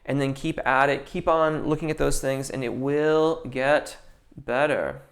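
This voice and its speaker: A man's voice: mid-range voice, measured pace. A young man, a voice teacher, hosting a casual live-stream Q&A, reading viewer comments and answering with technical singing terms, with frequent ums and restarted sentences.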